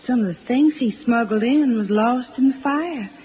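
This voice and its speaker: A woman's voice: fairly high pitch, normal speed, close to an everyday voice. An actress playing a frightened young backwoods woman with plain rustic grammar and a hesitant manner in a 1950s radio drama. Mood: subdued, confessing. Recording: old radio, echoing, faint hiss, narrow band.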